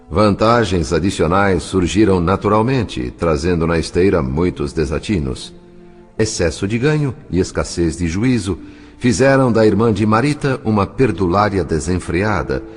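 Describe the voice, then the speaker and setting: A man narrating a scripted audio drama in a formal, solemn, literary manner. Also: low voice. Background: music.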